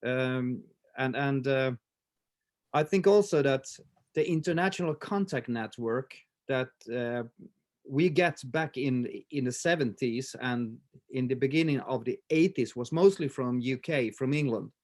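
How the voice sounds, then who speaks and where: A man in his fifties with a Swedish accent, talking in a casual interview with many pauses, fillers and restarts. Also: mid-range voice, a little mellow. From Sweden. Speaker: martial arts instructor, guest.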